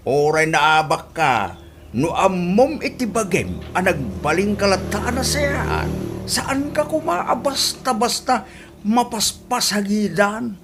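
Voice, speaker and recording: A woman's voice: on the low side, background noise. A woman acting in an Ilocano radio comedy drama as a brash, gloating, theatrical woman with an Ilocano accent. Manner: loud, taunting, theatrical. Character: brash gloating woman mocking losers on social media. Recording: music behind the voice.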